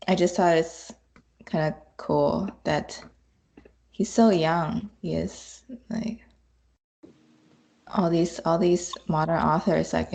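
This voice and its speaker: Very low, creaky, slow-talking woman. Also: a little mellow, rough recording. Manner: halting, searching for words. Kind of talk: casual chat.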